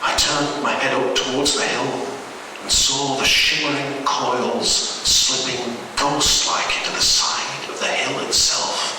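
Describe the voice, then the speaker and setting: A man narrates a scripted horror tale to a live event, drawing it out slowly and dramatically. The sound is heavily reverberant and picked up from a distance, with obvious noise. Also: medium pitch, flat delivery. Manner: slow, dramatic delivery. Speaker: story reader. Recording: echoey, noisy live hall recording from far off.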